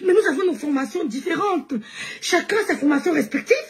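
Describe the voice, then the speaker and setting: Woman, high pitch, live-stream host, mocking rant, laughing, shouting. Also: fairly quick. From Cameroon.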